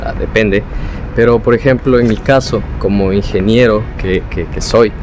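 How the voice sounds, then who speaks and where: A man's voice: fairly low pitch, moderate pace, noisy background. A young man with an Ecuadorian Spanish accent, talking casually and explanatorily as a vlogger giving advice from his own experience. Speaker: vlogger, former international student.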